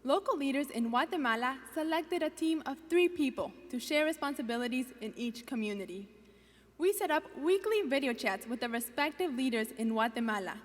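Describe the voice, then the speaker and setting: A woman from Ecuador giving a rehearsed student presentation with careful, even recitation. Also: high-pitched, a little fast, big-room sound.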